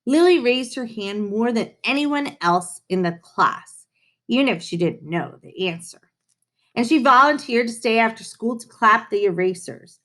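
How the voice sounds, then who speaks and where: Woman storyteller, very lively intonation, unhurried pace, playful, children's read-aloud. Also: a little high. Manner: animated, unhurried, dramatic pauses, playful asides.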